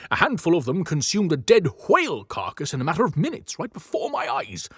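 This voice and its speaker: A man voicing a stern older male leader, gruff and authoritative, in a cinematic audiobook. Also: on the high side, huge pitch swings, brisk, no echo, studio quality, pitched above the performer's own voice, full character voice. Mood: measured, thoughtful.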